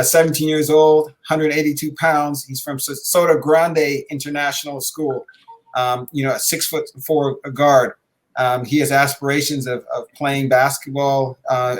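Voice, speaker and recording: A middle-aged man hosting a show conversationally, halting often with filled pauses. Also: a little high. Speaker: podcast host, basketball coach.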